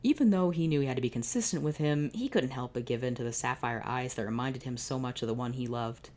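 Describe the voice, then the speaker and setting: Low-voiced woman reading with sarcastic asides. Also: fast, a little mellow. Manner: exasperated, sarcastic commentary. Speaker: YouTuber reading fan fiction. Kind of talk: dramatic reading with comic asides.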